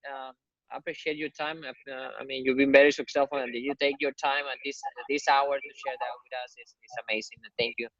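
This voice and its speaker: Soft, flat-voiced man on a muffled call. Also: mid-range voice, a little fast, dry recording. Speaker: call host. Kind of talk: casual thanks on a group call.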